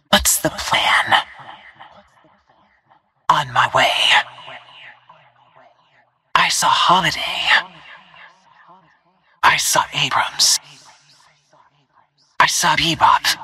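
A woman playing a stealthy, cool-headed assassin in a video game, giving terse callouts in a hushed, husky, breathy voice. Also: heavily acted. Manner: terse, clipped phrases in a low-key, controlled delivery. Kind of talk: short in-game callout lines.

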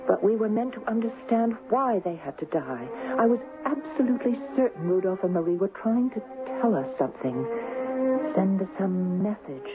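An actress playing an earnest, hesitant young schoolteacher in love, in a 1970s radio drama. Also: female voice, mid-range voice, expressive, normal speed, over music, natural voice. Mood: earnest, troubled. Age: young adult. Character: earnest young schoolteacher, a woman engaged to a rich heir. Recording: muffled, noisy 1970s radio broadcast.

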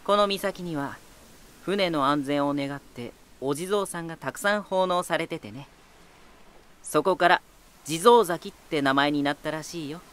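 A playful male lighthouse spirit from an anime-style audio drama. His voice sits fairly high for a man, and he speaks with boyish self-assurance, teasing and talkative, with an eerie taste for battle underneath. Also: measured pace, fairly bright tone, clear, resonant tone. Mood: relaxed, proud. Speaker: voice actor in a character drama.

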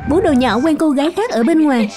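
High-voiced, suspicious cartoon mother. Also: expressive, quick pace, over music. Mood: indignant. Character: suspicious, indignant young mother and wife. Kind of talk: dubbed children's cartoon acting.

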